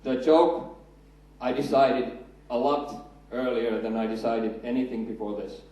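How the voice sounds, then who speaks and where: A Finnish-accented man in his thirties speaks from a stage in a large hall, joking and building up to his punchlines. His voice is mellow and dark. The sound is heavily echoey and comes from some distance from the mic. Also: mid-range voice, fairly even intonation, measured pace. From Finland. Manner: chatty, self-deprecating, builds up to punchlines. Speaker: conference speaker. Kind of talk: conference talk with jokes. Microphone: handheld mic.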